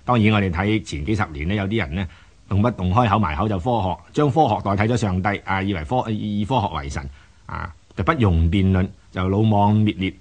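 Low-pitched man, didactic commentator. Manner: didactic, halting, with repeated words and emphatic lists. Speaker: host and commentator of a fable programme. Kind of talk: unscripted explanatory commentary.

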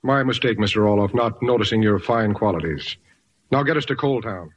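An actor playing a world-weary, kindhearted press agent in vintage radio style, slangy and sentimental. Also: male voice, lowish voice, fairly quick, a little hiss or hum, close to an everyday voice. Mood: easygoing. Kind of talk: 1940s radio acting, first-person narration. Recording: dull vintage radio recording, loud music.

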